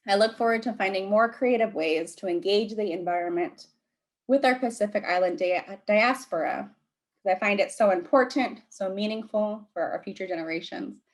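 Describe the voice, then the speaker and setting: A woman, an academic panelist, delivering prepared remarks on a video call in a measured, earnest way. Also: medium pitch.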